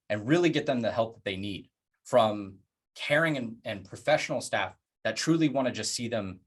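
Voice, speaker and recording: A man presenting plans in a public address, speaking earnestly in deliberate phrases with emphatic pauses. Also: fairly low pitch. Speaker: organization leader presenting plans.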